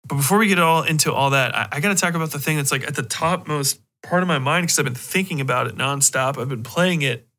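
A man with a bright, forceful voice talks as a podcast host. He rambles casually through his monologue in an animated way, swearing often and freely. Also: on the high side, fast talker, few pauses. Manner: rambling, animated, heavily profane.

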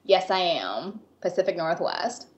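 A woman's voice: fairly low pitch, very animated, measured pace. A woman in her twenties with an American accent, who grew up in Japan, chatting as a guest artist in a friendly way, laughing easily and often saying um. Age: 20s. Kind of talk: casual interview chat.

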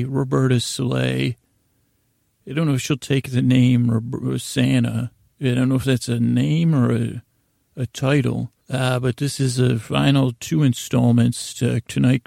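A man hosting a podcast with a gravelly, creaky voice, rambling informally in a halting, meandering way, repeating himself and trailing off mid-thought. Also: medium pitch, mellow, no echo, clean studio sound. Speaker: podcast host.

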